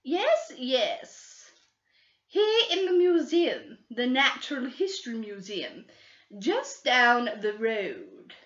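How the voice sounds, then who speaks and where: A woman voicing two excitable, greedy young sisters who talk in breathless, eager bursts. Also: fairly high pitch, takes it slowly, full character voice. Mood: excited. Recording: roomy, slightly dull recording.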